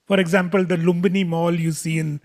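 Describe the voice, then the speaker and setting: A man's voice: a little high, flat delivery, a little fast, darkish tone. A man interviewing an author on stage, asking long, searching questions with many fillers.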